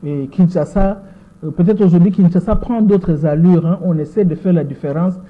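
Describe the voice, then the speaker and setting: A woman in her sixties with a Congolese French accent, giving measured answers as an interview guest and often repeating words while she searches for them. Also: on the low side. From Democratic Republic of the Congo. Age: 60s. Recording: tinny phone-like sound.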